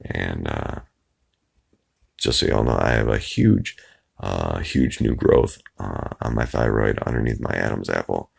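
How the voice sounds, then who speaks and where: A man with a hoarse, raspy voice, rambling casually into his phone's microphone and trailing off with plenty of fillers. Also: very deep voice, lively intonation, measured pace. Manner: meandering, trails off with many fillers. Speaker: video blogger. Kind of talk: rambling casual monologue to camera.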